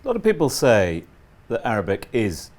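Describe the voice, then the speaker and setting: Brief: talk show co-host, animated pitch, conversational questions. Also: moderate pace. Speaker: TV co-presenter. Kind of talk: TV studio interview.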